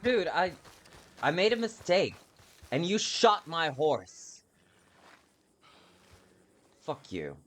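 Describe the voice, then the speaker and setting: A man's voice: a little high, wildly swooping pitch, slow, long pauses. A man giving casual, blunt, sweary commentary while playing a video game. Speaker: gamer narrating a playthrough. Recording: noisy track with game gunfire behind. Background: video game gunfire and animal sounds.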